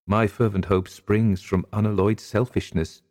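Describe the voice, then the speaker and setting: A man's voice: fairly low pitch, little pitch movement, measured pace, a little mellow, natural voice. A man voicing a genteel, anguished wife who pleads in formal, melodramatic period language, as in a dramatic audiobook. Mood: bitter, anguished. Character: anguished young gentlewoman, genteel, pleading, dreading a cruel husband.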